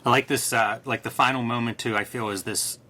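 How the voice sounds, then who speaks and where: A man with a mid-pitched, strikingly bright voice co-hosts a comics discussion. He comments casually and with enthusiasm, sounding appreciative and conversational. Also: fairly even intonation, brisk. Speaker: co-host of a comics review show.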